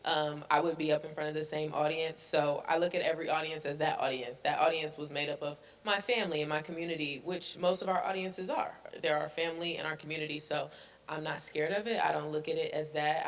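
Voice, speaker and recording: A woman from Chicago, a podcast guest, talking with calm confidence. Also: sits low, far from the mic. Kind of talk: casual conversation.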